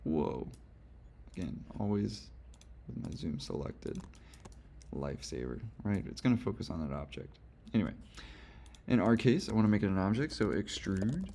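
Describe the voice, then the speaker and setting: Young guy kinda losing his voice, gravelly low tone, rambly chill tutorial talk with clicks and typing behind. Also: a little mellow. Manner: casual, rambling, self-correcting with fillers. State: hoarse. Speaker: instructor. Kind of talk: screen-recorded software tutorial.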